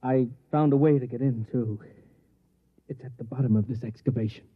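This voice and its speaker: A man's voice: medium pitch, steady tone, brisk, breathy edge, lightly acted. An American actor in old-time radio drama, playing a nervous, paranoid, furtive man with a hesitant, secretive, earnest delivery. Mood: earnest, conspiratorial. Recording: tinny, band-limited vintage radio recording.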